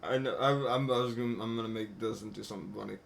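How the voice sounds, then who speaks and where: A man's voice: fairly low pitch, steady tone, fast, creaky, a little hiss or hum. A man streaming a game with casual, joking, mocking commentary full of slang. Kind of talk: casual live gameplay commentary.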